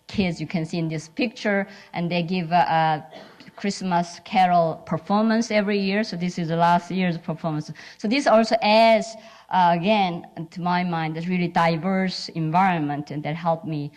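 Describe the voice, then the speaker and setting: A middle-aged woman from South Korea with a Korean accent gives an informal conference talk in halting, non-fluent English with dropped articles. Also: on the low side, a little mellow. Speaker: scientist speaking at a symposium.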